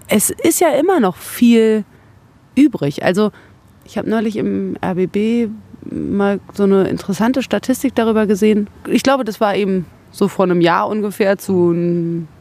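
A woman from West Berlin in a casual interview, with a medium-pitched voice. She talks reflectively as a guest, feeling her way toward her words while holding clear opinions. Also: darkish tone. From West Berlin, Germany. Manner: thoughtful, searching for words, opinionated. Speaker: interview guest, singer-songwriter.

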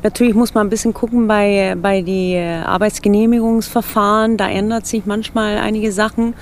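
A woman's voice: mid-range voice, steady tone, brisk, a little hiss or hum. A middle-aged Swedish woman speaking German with a Swedish accent, a relocation consultant answering radio interview questions hesitantly, with many fillers and small grammar slips. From Sweden.

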